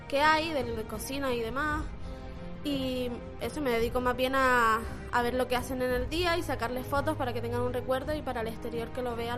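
Young woman, high voice, casual interview chat, music behind. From Las Palmas, Canary Islands, Spain. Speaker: interviewee, summer camp photographer.

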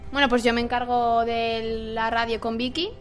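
A woman's voice: fairly high pitch, flat delivery, moderate pace, soft background music. A young woman from Zaragoza, hosting a casual group interview for youth radio. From Zaragoza, Spain.

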